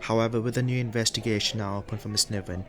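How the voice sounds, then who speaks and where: A man's voice: on the low side, fairly even intonation, quick pace, fairly bright tone, lightly acted. A man playing a hesitant, by-the-book police detective in an audio drama, speaking formally. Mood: measured, hesitant.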